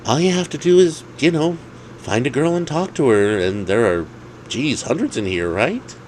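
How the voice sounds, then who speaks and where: Guy doing pushy wingman buddy for a story, voice lifted up high, coaxing and eager, bit of noise behind. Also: animated, normal speed, close to an everyday voice, low-quality recording. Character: eager, pushy male best friend, grown-up wingman. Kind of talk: acted story dialogue.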